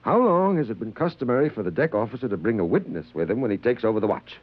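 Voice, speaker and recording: An actor playing a stern, suspicious spaceship captain in an old radio drama, speaking in a commanding, interrogating manner. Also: male voice, medium pitch, expressive, fast talker, lightly acted. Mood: stern, probing. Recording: muffled old radio recording.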